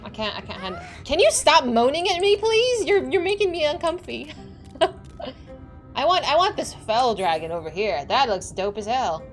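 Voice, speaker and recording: Chatty young woman streamer, lively. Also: normal speed, faint music behind. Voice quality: slightly squeaky. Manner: excitable, chatty, laughing often. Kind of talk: casual chat while playing a game.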